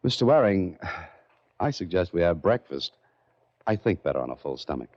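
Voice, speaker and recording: An actor in a 1940s radio drama playing a wry, composed novelist hero who also narrates in a dry, clipped manner. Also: male voice, deep voice, big pitch swings, normal speed, dry recording. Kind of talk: 1940s radio acting and first-person narration. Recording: dull old radio recording.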